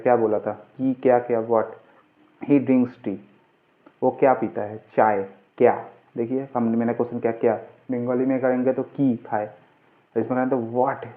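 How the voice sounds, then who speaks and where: Phone-like, flat-toned man teaching grammar. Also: medium pitch. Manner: instructive, poses questions and answers them. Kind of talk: informal tutorial explanation. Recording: muffled, phone-like, narrow-band sound.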